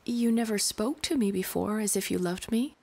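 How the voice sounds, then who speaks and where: A woman playing a proud, self-absorbed young bride in an animated film. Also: mid-range voice, moderate pace, natural voice. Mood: earnest.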